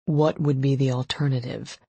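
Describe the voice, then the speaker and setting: A woman voicing an anguished, brooding man in an audiobook romance, raspy and halting as he pleads. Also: a bass voice, fairly even intonation, warm tone, dry recording, full character voice. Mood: gruff, subdued. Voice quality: raspy, rough-throated. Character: anguished gruff man, desperate brooding lover.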